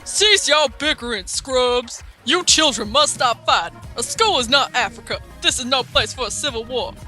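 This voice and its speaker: Woman acting a loud, sharp-edged abusive schoolteacher. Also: sits high, lively intonation, moderate pace, soft background music. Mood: stern, barking. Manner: barking, insulting, drill-sergeant delivery. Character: loud, abusive male schoolteacher in anime parody. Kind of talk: comedic visual novel parody dub.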